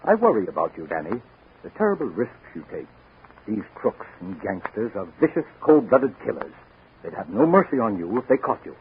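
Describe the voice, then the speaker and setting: An actor playing a cautious, fatherly older doctor in a 1940s radio drama, measured and concerned, on an old recording. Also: lowish voice, very animated, phone-quality sound, a little hiss or hum. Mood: worried. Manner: measured, grave, hesitant, concerned. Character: cautious, fatherly doctor, worried advisor to a young hero.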